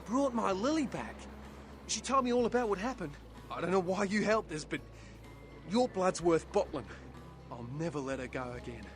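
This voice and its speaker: Young surfer vampire, earnest and easygoing, slightly high voice, video game, music behind. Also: male voice, expressive, normal speed. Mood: grateful, wary. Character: young male surfer vampire, earnest, laid-back, grateful.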